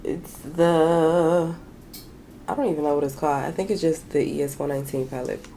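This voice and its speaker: Raspy, deep-voiced woman chatting candidly. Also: steady tone, moderate pace, warm tone, a little hiss or hum. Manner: candid, chatty, swears casually. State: hoarse from sinus trouble. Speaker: beauty vlogger. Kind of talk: casual chat while doing makeup. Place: small bedroom with shelves and a lamp.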